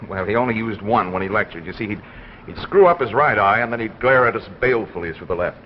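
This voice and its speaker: A man's voice: lowish voice, fast talker, lightly acted. An actor playing a polite, hesitant young man, a former student, in 1950s radio drama style. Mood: amused. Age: young adult. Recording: dull old radio, faint noise.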